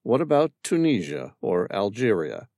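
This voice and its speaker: A man's voice: fairly low pitch, huge pitch swings, moderate pace, gravelly, dark tone, dry recording, clean studio sound, heavily acted. A man reading aloud as a curious male stranger who keeps asking pointed questions. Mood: probing. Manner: inquisitive, persistent questioning.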